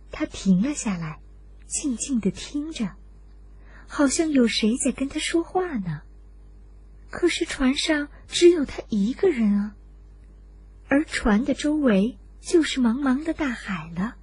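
A woman narrating a scripted children's story in an expressive, deliberate storyteller's manner, addressed to young listeners. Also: a little high, breathy edge.

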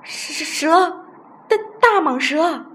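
A frightened little man from a children's tale, put on by a woman narrator. The voice is pitched high and stammers in panic, breaking up and repeating words. Some background noise sits behind it. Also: lively intonation, slow, a bit bright, full character voice. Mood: panicked, trembling.

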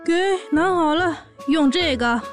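A woman's voice: high voice, measured pace, soft background music. A woman playing a brash, boastful pregnant orc warrior, a sassy and bossy older sister, in a fan audio drama. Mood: scolding, teasing. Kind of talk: audio drama acting and narration.

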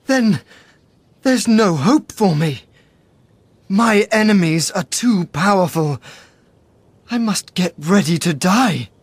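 An actor playing an anxious, easily horrified young musketeer in a dramatised storybook, with a husky voice. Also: medium pitch, animated, takes it slowly, pauses often, no room sound, full character voice. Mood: despairing.

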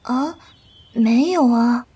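A woman playing a gentle, affectionate young disciple and elder martial sister in a Chinese period audio drama, with a tender, coaxing manner. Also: a little high, very slow, slightly rough, full character voice. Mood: teasing, puzzled.

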